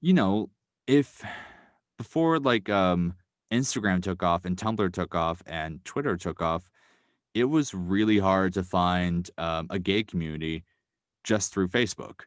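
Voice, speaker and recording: A man with a very low voice chats as a podcast guest, his delivery meandering and padded with fillers and self-corrections. The sound is close and dry, with almost no room around it. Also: animated, a little slow, no echo. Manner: rambling, full of "um" and "like", hedging. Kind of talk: casual podcast chat.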